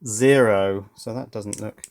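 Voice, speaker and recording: A man's voice: lowish voice, fairly even intonation, slow, creaky, darkish tone. A man giving unscripted teardown commentary as a hobbyist presenter, thinking aloud with dry, understated humour.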